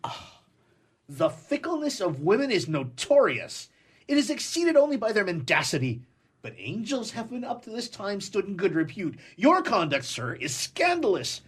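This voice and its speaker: A man's voice: high voice, huge pitch swings, moderate pace, breathy edge, exaggerated voice. An actor playing a jilted, cynical man of the world in a stage comedy, with a sarcastic, declamatory manner. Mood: scandalized, indignant. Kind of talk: theatrical stage comedy acting.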